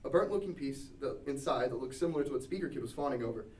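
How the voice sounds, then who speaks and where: A man's voice: medium pitch, animated, fast talker, darkish tone. A man reading scripted stage directions as narrator at a table read. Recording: echoey room, distant mic.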